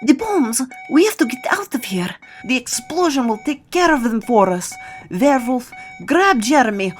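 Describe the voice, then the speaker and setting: Actress doing bossy sardonic lady leader, highish and very expressive, radio play with music under. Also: normal speed. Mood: urgent. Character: commanding, sardonic woman leader of a gang. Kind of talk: audio drama acting.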